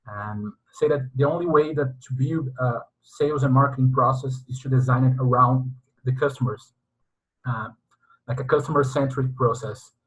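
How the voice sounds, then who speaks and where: A man's voice: mid-range voice, normal speed, slightly rough, rough recording. A man hosting an interview, giving formal introductions and asking long questions. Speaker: interviewer, sales consultant.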